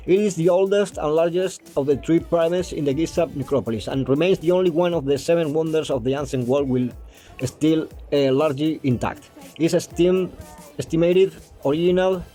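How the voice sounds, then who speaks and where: A man explaining geometry step by step, pausing and restarting often and stumbling when he reads English passages aloud. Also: fairly high pitch, dark tone, faint music behind. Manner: hesitant, with frequent restarts and fillers. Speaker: math explainer.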